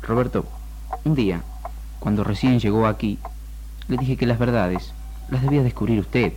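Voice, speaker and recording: Guy playing calm argentine shrink, old radio drama, mellow voice, faint hiss. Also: medium pitch, normal speed, close to an everyday voice. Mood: calm, assured. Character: patient, measured psychoanalyst advising his patient.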